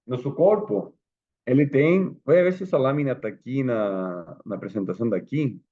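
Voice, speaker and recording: A man's voice: mid-range voice, normal speed, mellow. A man from Chile with a Chilean Spanish accent, speaking Portuguese as an instructor, giving a casual live lecture and asking his small audience questions. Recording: echoey room, phone-like sound.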